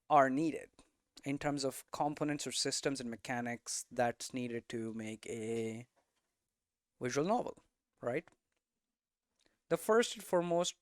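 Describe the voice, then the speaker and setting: A man's voice: mid-range voice, dry recording, studio quality. A man giving an informal lesson, thinking aloud conversationally and often checking in with tags like "right?". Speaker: game development streamer and teacher.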